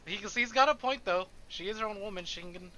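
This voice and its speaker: A man reading a visual novel aloud on a stream, narrating a character's thoughts in an expressive, theatrical way. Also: high-pitched, fairly quick, rough recording. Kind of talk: dramatic narration of a character's inner monologue. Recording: faint background noise.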